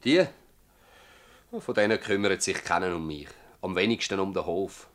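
Actor as gruff old Swiss German farmer, low-voiced. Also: male voice, lightly acted. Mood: bitter. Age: older. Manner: emphatic, halting, earthy testimony. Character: gruff old Swiss farmer, stubborn, defensive, worn by toil. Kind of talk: dialect radio drama acting.

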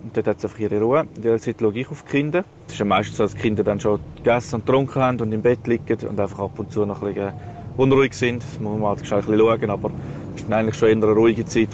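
A man's voice: medium pitch, darkish tone. A man with a Swiss German accent giving a casual personal account as a father. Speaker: father sharing experience. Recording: dull, noisy outdoor recording with traffic.

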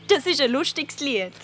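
Curious dreamy schoolgirl, Swiss German accent, highish voice, slow hesitant phrasing, children's radio play. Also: a bit bright, full character voice. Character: curious, dreamy schoolgirl, earnest and a little naive.

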